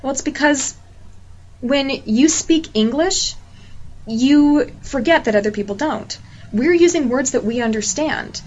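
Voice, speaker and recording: Earnest woman, higher voice, muffled audio. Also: measured pace, a little mellow. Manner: earnest, emphatic, builds points with repeated examples. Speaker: quality certification exam tutor. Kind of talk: explanatory monologue. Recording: slightly muffled lo-fi audio with faint hiss.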